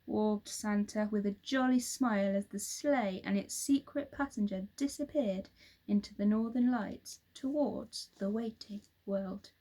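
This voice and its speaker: Woman teacher, storybook read-aloud, unhurried and expressive, a bit breathy. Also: medium pitch, poor audio. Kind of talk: children's storybook reading.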